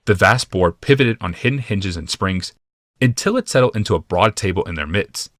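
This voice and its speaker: Guy reading a fantasy audiobook, low voice, deliberate and dramatic, super dry sound. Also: expressive, fairly bright tone, no echo. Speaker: audiobook narrator. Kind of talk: dramatic scripted fantasy narration.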